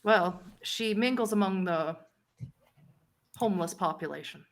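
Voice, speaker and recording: A woman playing a haughty, powerful vampire ruler in a tabletop roleplay, measured and imperious, with deliberate pauses. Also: mid-range voice, close to an everyday voice. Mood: coolly informative. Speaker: game master.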